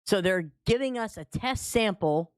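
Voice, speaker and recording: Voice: medium pitch, expressive, measured pace, dry recording, studio quality. A speaker chatting casually in slangy language, swearing and often checking for agreement. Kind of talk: casual chat.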